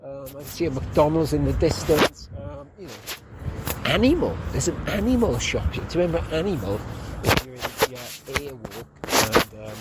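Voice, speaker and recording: Man, young adult, casual rambling talk, outdoors on a phone mic, street noise. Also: mid-range voice. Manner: rambling, chatty, many ums. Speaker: football podcast host. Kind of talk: casual walking vlog. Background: street and traffic noise.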